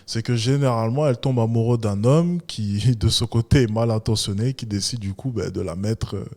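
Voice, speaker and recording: A man streaming live, reacting casually to a documentary and thanking viewers for donations in a relaxed, easygoing way. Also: fairly low pitch, brisk. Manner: laid-back, thanks donors, chuckles while choosing his words.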